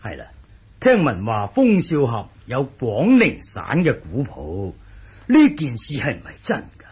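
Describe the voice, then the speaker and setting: A man's voice: mid-range voice, huge pitch swings, darkish tone, breathy edge, heavily acted. A man acting a refined, courteous old recluse in a Cantonese radio drama, formal, humble and given to sighs. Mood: wistful, musing. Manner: slow, sighing, formal and humble. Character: refined elderly reclusive manor master, courteous, music-loving.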